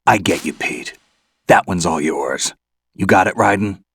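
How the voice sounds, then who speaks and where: Low-voiced, laconic soldier. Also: male voice, measured pace, vocal fry, a bit bright, breathy edge, dry recording, heavily acted. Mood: cool, steady. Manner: laconic, matter-of-fact. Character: seasoned male covert soldier, cool, terse and pragmatic. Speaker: video game voice actor. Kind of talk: dramatic game cutscene acting. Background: background music.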